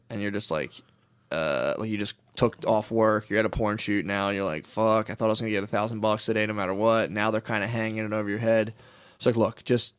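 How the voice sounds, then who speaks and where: A man's voice: fairly low pitch, clear, resonant tone. A man chatting casually and crudely as a comedy podcast host, rambling through profane stories and acting out bits of dialogue. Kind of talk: casual, crude comic chat.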